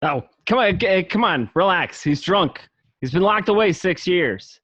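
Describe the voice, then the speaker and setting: A man's voice: high voice, expressive, unhurried, fairly bright tone, dry recording, heavily acted. A man acting an anxious, placating gangster who keeps the peace, in an amateur radio play. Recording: dull lo-fi home recording.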